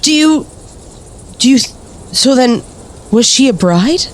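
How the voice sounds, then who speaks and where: Nervous, earnest ghost investigator. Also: female voice, high voice, animated, slow, a little hiss or hum. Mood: earnest, curious. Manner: hesitant, breathless reactions with sighs and gasps. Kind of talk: naturalistic spooky audio play acting. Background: music.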